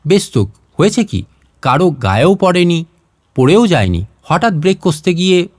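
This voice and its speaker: Male narrator as cocky young pal, teasing and self-assured, storybook dialogue, slightly high pitch, dry sound. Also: sing-song, moderate pace, darkish tone, dry recording. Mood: teasing, reassuring.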